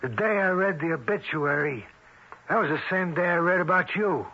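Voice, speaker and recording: A husky, streetwise, scheming crook. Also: male voice, on the high side, moderate pace. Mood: terse. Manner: streetwise slangy talk, ungrammatical, persuasive. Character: scheming tough crook, cocky, desperate for a fortune. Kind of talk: 1970s radio drama acting. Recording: dull vintage radio recording.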